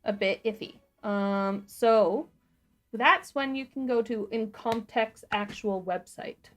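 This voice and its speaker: A woman co-hosting a livestream, giving a casual step-by-step software walkthrough. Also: on the high side, slow pace. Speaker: livestream co-host and tutorial presenter; sound designer.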